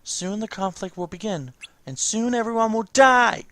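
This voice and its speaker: A man voicing a solemn mentor who speaks gravely and formally in a video game story. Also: high voice, expressive, normal speed, fairly bright tone, dry recording. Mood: solemn foreboding.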